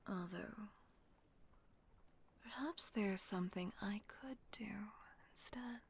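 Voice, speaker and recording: A woman voicing a gentle, protective female vampire caretaker in a whispered audio roleplay, soothing and coaxing. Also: medium pitch, slow. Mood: tender whisper. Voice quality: soft breathy whisper.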